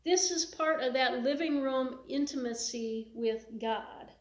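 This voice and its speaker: A middle-aged woman pastor with an Upper Midwest American accent preaching a relaxed, conversational sermon in her soft voice from a small church. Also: high-pitched, measured pace. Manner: conversational, gestures while preaching seated. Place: small church sanctuary, indoors. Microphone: fixed camera in front. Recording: echoey room, faint hiss.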